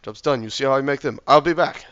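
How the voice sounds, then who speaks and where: A man with a sore throat and a slightly hoarse voice, casually commentating his game while he builds, mumbling and thinking aloud to himself. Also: mid-range voice, fast, fairly bright tone, no room sound, dull audio. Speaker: let's play gamer.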